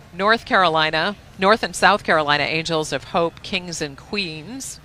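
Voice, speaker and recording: A co-commentator at an outdoor parade, reading sponsor blurbs in a friendly, steady way. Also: mid-range voice, a little hiss or hum, low-quality recording.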